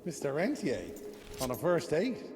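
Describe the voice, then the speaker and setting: An actor playing a witty occult scholar who gives wry, clipped replies. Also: male voice, fairly high pitch, sing-song, normal speed, darkish tone, in a large hall, soft background music.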